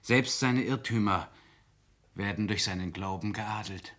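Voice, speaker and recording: An actor playing a warm, idealistic young male writer in a German radio drama, with a husky voice. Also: lowish voice, measured pace. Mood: earnest, admiring.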